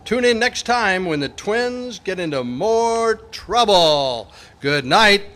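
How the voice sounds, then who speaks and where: A man with a high voice works as announcer for an amateur old-time radio play. He takes the lines slowly, in a sponsor-read style. Faint noise from a live room sits behind him. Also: expressive, a bit bright. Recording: live club meeting, background noise.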